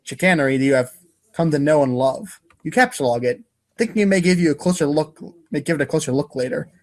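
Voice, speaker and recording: A man's voice: a little high, fast. A man giving a wry, theatrical dramatic reading as a podcast narrator, now and then tripping over long words.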